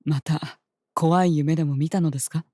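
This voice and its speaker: Audio drama role: woman as soothing royal attendant, low dark voice, formal, polite, reassuring. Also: steady tone, normal speed, no room sound. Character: gentle, reassuring adult attendant to a young princess. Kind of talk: Japanese audio drama acting.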